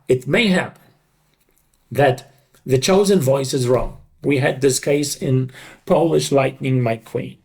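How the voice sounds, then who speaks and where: Middle-aged male interview guest, gravelly mid-pitched voice, explains things emphatically in conversational phrases. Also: a little mellow. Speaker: interview guest, dubbing creative director.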